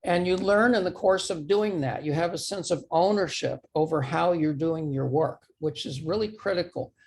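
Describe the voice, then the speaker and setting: A man giving a presentation, his voice going hoarse, halting and repeating words as he poses true-or-false questions. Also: fairly high pitch, a little mellow, slight background noise. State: losing his voice, clearing throat. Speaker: conference speaker. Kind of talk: talk presentation with audience questions.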